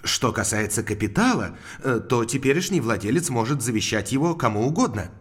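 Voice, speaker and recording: Mild country doctor: formal, precise, slightly hesitant, very varied intonation, period radio play. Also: male voice, mid-range voice, expressive, brisk, husky. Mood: earnest, explanatory. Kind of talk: audio drama acting.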